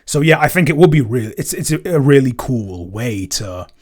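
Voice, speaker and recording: Male podcast co-host and composer, medium pitch, informal Q&A chat, reflective and rambling with self-corrections. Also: animated, normal speed, a little mellow. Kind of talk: casual Q&A chat.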